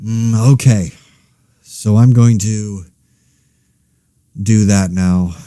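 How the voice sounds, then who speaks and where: A man's voice: lowish voice, fairly even intonation, drawn-out words, dark tone, dry recording, clean studio sound. A man playing an exasperated, anxious everyman podcaster in a conversational audio drama, rambling wryly and interrupting himself. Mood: weary, deflated. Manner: wry, rambling, self-interrupting. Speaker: host of a fictional monologue podcast.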